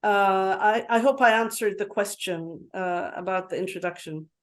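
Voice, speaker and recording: A woman speaking as a guest on an online conference call, earnest and halting, with many fillers and restarts. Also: mid-range voice, fairly even intonation. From Yemen. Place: home in Dubai. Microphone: video call.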